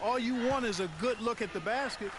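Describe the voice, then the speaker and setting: A man's voice: extremely high pitch, brisk, noisy background. A man voicing a folksy color commentator in a basketball video game. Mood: analyzing. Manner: folksy, chatty analysis. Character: folksy male color analyst, upbeat. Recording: game audio with music behind.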